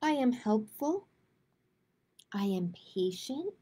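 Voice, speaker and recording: A woman hosting a live picture-book storytime for children, speaking to viewers in simple, deliberate phrases. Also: fairly high pitch, big pitch swings, dark tone, a little hiss or hum.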